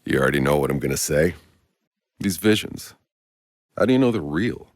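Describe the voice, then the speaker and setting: An actor playing a world-weary, sardonic soldier in a video game, gravelly, dry and blunt. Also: male voice, very deep voice, lively intonation, normal speed, dry recording. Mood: questioning, wary. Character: gruff, world-weary male Outrider soldier, sardonic and foul-mouthed.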